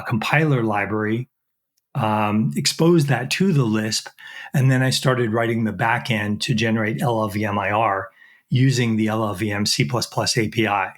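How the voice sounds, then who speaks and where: A middle-aged man speaks in a thoughtful, halting way, full of fillers and false starts as he works through technical explanations. His pitch sits in an ordinary middle range. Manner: thoughtful, frequent fillers and restarts. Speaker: guest, chemistry professor. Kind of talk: technical interview.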